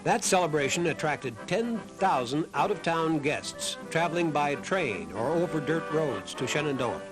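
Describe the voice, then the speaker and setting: Music plays clearly behind a man with a fairly high voice. He delivers scripted documentary narration as the narrator of the programme. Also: low-quality recording.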